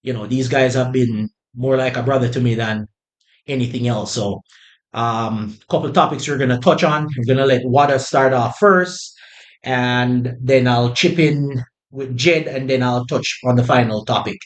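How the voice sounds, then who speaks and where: A man hosting a relaxed podcast, welcoming guests he calls his brothers. His voice sits at an ordinary middle pitch. He speaks in a friendly, conversational way, with plenty of "you know" and "um" along the way. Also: moderate pace. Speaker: podcast host.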